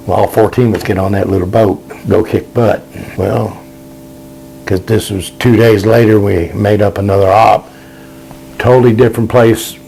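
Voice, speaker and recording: A man's voice: on the low side, creaky, mellow, slight background noise, rough recording. An older man, a veteran, recalling his service in a casual, rambling way, repeating words and using plain folksy speech. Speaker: Vietnam veteran interviewee. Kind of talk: casual spoken recollection.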